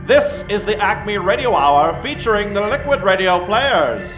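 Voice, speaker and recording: A man's voice: high voice, big pitch swings, a little slow. A man announcing an old-time radio show in a showy, theatrical manner to a live audience. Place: live comedy theater stage. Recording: echoey theater with music underneath.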